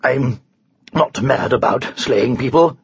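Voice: mid-range voice, huge pitch swings, moderate pace, breathy edge, lo-fi sound, pitched above the performer's own voice, heavily acted. A man voicing a puny, boastful but nervous knight with jolly, old-fashioned posh phrasing, in a storybook. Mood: wistful.

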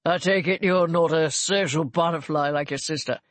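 A woman voicing a smooth-talking, flattering Regency gentleman, one of the figures in a read romance story. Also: low voice, moderate pace, dry recording, lightly acted. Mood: suave. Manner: suave, fulsome compliments.

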